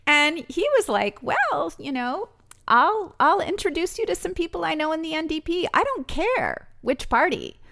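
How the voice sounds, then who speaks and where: A woman's voice: extremely high pitch, very animated, normal speed, vocal fry, fairly bright tone. A woman from British Columbia, speaking as a podcast guest in an earnest, thinking-aloud way with frequent restarts. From British Columbia, Canada. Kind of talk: conversational interview.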